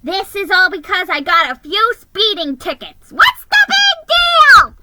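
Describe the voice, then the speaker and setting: A woman's voice: very high voice, sing-song, unhurried, heavy background noise, pitched above the performer's own voice, exaggerated voice. A woman voicing a jealous, hot-tempered little male Christmas elf in a storybook, shrieking and grumbling his complaints. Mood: fuming.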